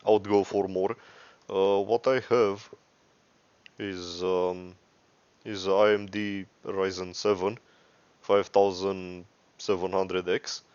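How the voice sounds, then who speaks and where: A man with a Romanian accent giving a casual, unscripted software tutorial, rambling and hesitant, often correcting himself. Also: sits low, little pitch movement, slow, pauses often, smooth, no echo. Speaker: tutorial host. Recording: dry, dull lo-fi microphone.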